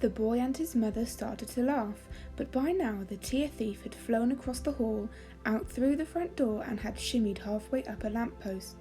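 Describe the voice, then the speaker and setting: A woman storyteller giving a calming, expressive, measured reading of a children's fairy story. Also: fairly high pitch, soft background music.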